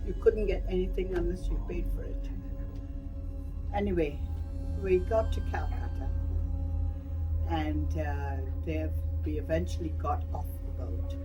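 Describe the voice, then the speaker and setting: A woman's voice: deep voice, poor audio. An older woman from Burma giving a personal reminiscence in a plain-spoken, emphatic way. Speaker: interviewee recalling wartime life.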